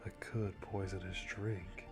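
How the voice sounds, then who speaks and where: A man playing a calm, methodical hitman, musing to himself softly and deliberately with dry amusement in an ASMR roleplay. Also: sits low. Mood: calm, calculating. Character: calm, methodical male hitman planning a kill. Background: music.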